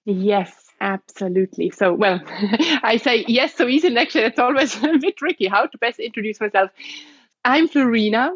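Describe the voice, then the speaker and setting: A woman's voice: on the high side, lively intonation, normal speed, slightly rough, dry recording, lo-fi sound. A woman in her thirties from Switzerland with a strong Swiss German accent, a guest chatting in a bubbly way and laughing often. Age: 30s. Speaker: guest, osteopath. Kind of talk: casual interview chat.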